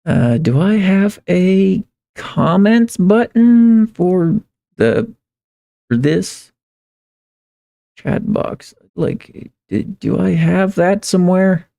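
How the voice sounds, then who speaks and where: A man livestreaming in a casual, rambling way, halting and repeating phrases as he thinks aloud. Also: sits high, expressive, creaky, warm tone. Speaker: live streamer. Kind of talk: casual livestream chat, reading viewer comments.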